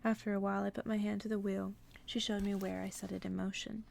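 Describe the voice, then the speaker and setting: A woman softly reading a bedtime story in ASMR style, partly whispered, with casual asides. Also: medium pitch, little pitch movement, fast, gravelly, a little hiss or hum. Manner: hushed, drifting into casual asides. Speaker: host reading a book aloud on an ASMR channel.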